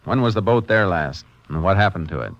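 Low-voiced shrewd Texas lawman. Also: lively intonation, brisk. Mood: probing, stern. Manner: clipped, plain-spoken, probing. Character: shrewd, steady Texas Ranger investigator. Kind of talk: 1950s radio drama acting and narration. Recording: muffled, faintly noisy 1950s radio broadcast.

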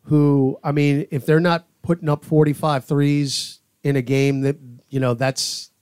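A man's voice: a little high, level tone, a little slow, darkish tone, smooth, dry recording, studio quality. A man giving conversational sports analysis as a podcast panelist, speaking emphatically and repeating key points.

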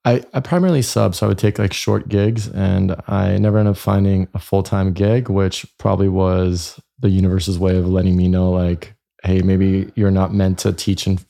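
A man's voice: low-pitched, little pitch movement, moderate pace, creaky, few pauses, dark tone. A man born in Croatia, chatting casually as an interview guest and telling anecdotes. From born in Croatia, lived in Germany and Canada. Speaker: podcast guest, chiropractor and speaker.

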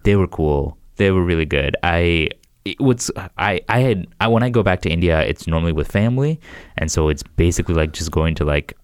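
A man's voice: sits low, lively intonation, brisk, smooth, dry recording, clean studio sound. A young American man, a comedian, chatting casually with lots of restarts and fillers. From United States. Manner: rambling, restarts, frequent "like". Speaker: comedian guest. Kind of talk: casual podcast chat.

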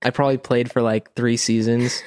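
A man's voice: medium pitch, level tone, moderate pace, a little mellow, smooth, no room sound, studio quality. An American man co-hosting a podcast in casual, informal conversation, with some mild swearing. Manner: informal, mildly profane. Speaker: podcast co-host. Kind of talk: casual chat.

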